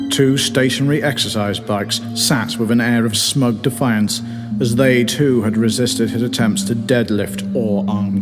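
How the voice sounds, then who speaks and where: A man reading his own comic story as narrator in a theatrical, mock-dramatic way. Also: on the low side. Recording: long reverb, music behind.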